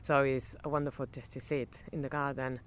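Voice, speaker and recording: A middle-aged woman from Argentina whose first language is Spanish, answering interview questions in English with an Argentine accent, pausing often with fillers and restarts. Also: extremely low pitch, level tone. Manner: halting, with many fillers and restarts. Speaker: wine estate general manager. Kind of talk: conversational interview. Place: outdoor garden.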